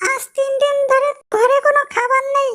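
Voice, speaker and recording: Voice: extremely high pitch, flat delivery, brisk, pushed and bright. An actor voicing a bitter, fed-up fox wife and mother in a cartoon. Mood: angry, tearful. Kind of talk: Bengali cartoon voice acting.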